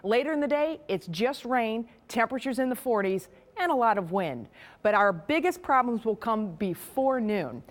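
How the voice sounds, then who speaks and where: A woman's voice: a little high, expressive, moderate pace. A woman meteorologist presenting a live weather forecast in a conversational, explanatory way. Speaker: television chief meteorologist.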